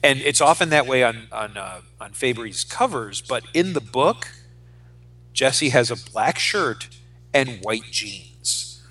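Bright-voiced, emphatic man in his forties. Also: mid-range voice, animated. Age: 40s. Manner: emphatic, halting with repeated phrases. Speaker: podcast co-host. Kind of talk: casual opinionated pop-culture chat.

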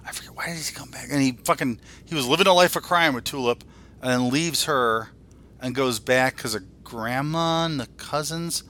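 A man in his forties with a bright, punchy voice at an average pitch. He banters like a casual podcast host, blunt and joking, with plenty of swearing. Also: moderate pace. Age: 40s.

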